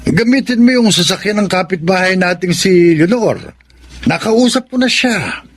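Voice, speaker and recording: A man's voice: sits high, lively intonation, normal speed, slight background noise. An actor playing a kindly old farming grandfather in a radio drama, speaking in a fatherly, admonishing way. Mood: coaxing. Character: kindly old grandfather, rural farmer, gentle and protective. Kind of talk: Tagalog radio drama acting.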